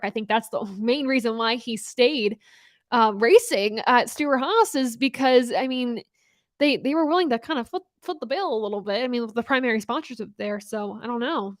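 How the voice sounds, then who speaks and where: Young woman host, chatty live-stream talker, fairly high voice, dry close sound. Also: no echo. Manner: chatty, upbeat, laughs often, many fillers and restarts. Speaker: host of a live racing talk show. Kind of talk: casual live-stream chat answering viewer questions.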